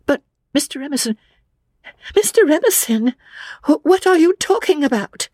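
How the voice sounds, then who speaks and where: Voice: mid-range voice, lively intonation, moderate pace, slightly rough, no echo, clean studio sound, pitched above the performer's own voice. A performer voicing an anxious, polite young woman who stammers and gasps in distress, as in a dramatic audiobook. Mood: alarmed, confused. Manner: stammering, gasping. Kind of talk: dramatic audiobook character reading.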